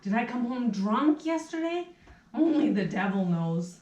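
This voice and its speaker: A woman's voice: fairly high pitch, big pitch swings, unhurried, warm tone, far from the mic, muffled recording. A woman voicing a meek, flustered male barber for a puppet play, hesitant and apologetic, in a roomy rehearsal recording. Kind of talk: puppet theatre acting.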